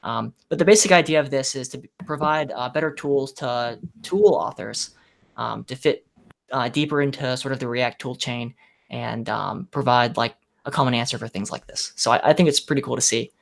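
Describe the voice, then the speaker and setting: Man, gravelly tone, halting filler-heavy delivery, casual tech panel. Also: mid-range voice. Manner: hesitant, many fillers, enthusiastic. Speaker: podcast panelist.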